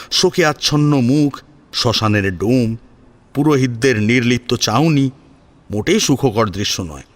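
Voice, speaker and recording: A male narrator reads a dramatic scripted story. His delivery is expressive and emphatic, with a mid-range voice that rises and falls in a lively way. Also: mid-range voice. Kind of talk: dramatic scripted audio-story narration in Bengali serial fashion.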